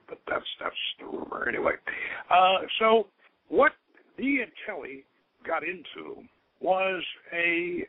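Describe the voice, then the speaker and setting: A man hosting a radio show, explaining things informally in a halting, rambling, scholarly storyteller's way over a tinny, narrow phone-like line. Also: a little high. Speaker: host of an occult radio show.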